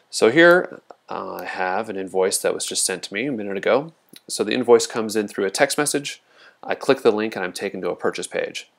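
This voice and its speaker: Low, creaky-voiced man giving a demo. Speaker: product demonstrator. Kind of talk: casual screen-recorded software walkthrough. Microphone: voice-over recorded over a screen demo.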